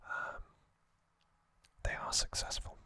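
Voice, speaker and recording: A man delivers an ASMR recap entirely in a breathy whisper. His sound is very bright and sibilant. He moves slowly, in short halting phrases, as he narrates trivia. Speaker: ASMR host.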